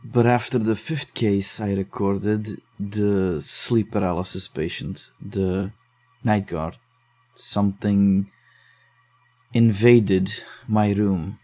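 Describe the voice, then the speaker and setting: A man voicing a rattled young man in a psychological horror audio drama, speaking in a lowish, mellow voice that turns defensive and uneasy as he recounts what happened. He talks quickly when he gets nervous. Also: steady tone. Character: shaken young man investigating case files. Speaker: narrator and lead character.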